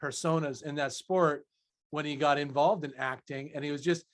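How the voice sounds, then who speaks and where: A man's voice: fairly high pitch, steady tone, moderate pace. A man hosting a conversational interview in an effusive, affirming, wordy manner. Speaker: interviewer, host.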